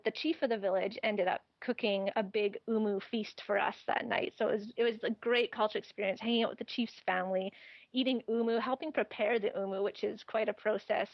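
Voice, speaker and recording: A chatty woman talking through her travel tips in an easy, casual way, with frequent laughs. Her voice sits at a middle pitch and sounds dry and close, on slightly dull, lo-fi audio. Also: no room sound. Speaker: travel guest. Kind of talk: casual interview chat.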